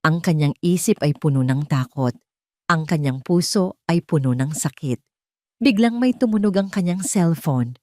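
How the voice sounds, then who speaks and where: Low-pitched woman narrating a drama. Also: lively intonation, normal speed, a little mellow, no echo, clean studio sound. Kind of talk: scripted melodramatic story narration.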